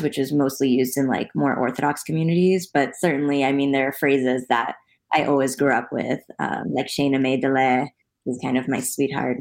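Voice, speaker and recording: A woman's voice: low voice, a little mellow. A woman from the United States, a guest in a conversational interview, explaining things thoughtfully with frequent ums.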